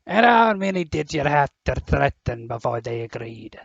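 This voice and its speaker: A man playing a gruff, loyal groundskeeper in a fan audio drama, blunt and folksy with a husky, gravelly voice. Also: medium pitch, sing-song, normal speed, no echo. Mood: indignant. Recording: dull, lo-fi, dry voice track.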